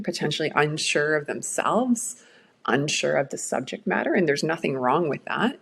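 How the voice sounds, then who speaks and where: A middle-aged woman whose first language is Swedish talks through a workshop lesson in a low, slow voice. There is a gravelly fry at the bottom of her tone. She speaks in an earnest, reflective way. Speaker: change management presenter. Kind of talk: recorded workshop talk.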